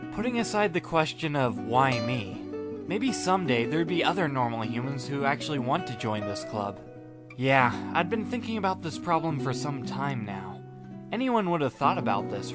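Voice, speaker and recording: A man narrating a fan audiobook in the first person as a wry, laid-back high school student, deadpan and musing. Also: no echo, natural voice. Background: music, plucked guitar.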